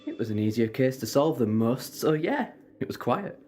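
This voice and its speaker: A man's voice: mid-range voice, sing-song, moderate pace, warm tone, soft background music, close to an everyday voice. A man playing a kindly, assured time-travelling adventurer in an audio drama, reassuring and breezily understated. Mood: playful, understated.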